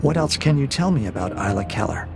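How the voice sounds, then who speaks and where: Man voicing a cold, probing AI interrogator, creaky. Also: lowish voice, sing-song, music in the background. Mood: clinical, needling. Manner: measured, formal, deliberate, needling with questions. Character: cold, probing male AI interrogator, calm and mocking. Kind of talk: science fiction audio drama acting.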